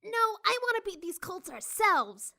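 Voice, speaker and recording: A woman's voice: very high voice, big pitch swings, measured pace, creaky, pushed and bright, heavily acted. A woman voicing a bold, competitive little filly, eager and pushy, urging emphatically in a cartoon storybook reading. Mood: determined.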